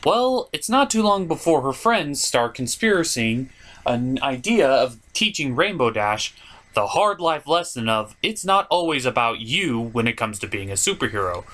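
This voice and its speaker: A man's voice: medium pitch, very animated, moderate pace, bright, forceful tone. A man hosting a comedic cartoon review, narrating from a script in a theatrical, playful way. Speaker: host and reviewer.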